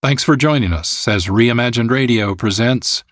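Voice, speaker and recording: A man hosting a radio program with a scripted, measured, formal introduction. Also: medium pitch, a bit bright, no echo, studio quality. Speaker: producer and host.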